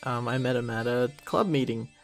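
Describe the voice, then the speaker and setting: A man's voice: mid-range voice, normal speed, soft background music. A young man giving a casual commentary track in a rambling, self-critical way, often trailing off mid-thought. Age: young adult. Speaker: creator of an animated parody series.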